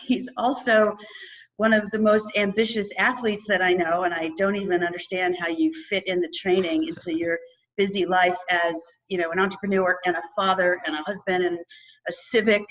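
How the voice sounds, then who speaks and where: A woman hosting a business podcast, energetic and chatty, laughing often as she introduces her guest. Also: mid-range voice, muffled recording. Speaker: podcast host. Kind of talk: upbeat interview podcast hosting.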